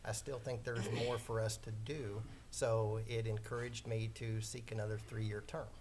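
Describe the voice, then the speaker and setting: Man, 40s, low and even; orderly incumbent candidate. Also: noisy background. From Missouri, USA. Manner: measured, orderly, with some fillers. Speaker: school board candidate. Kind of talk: candidate forum answers.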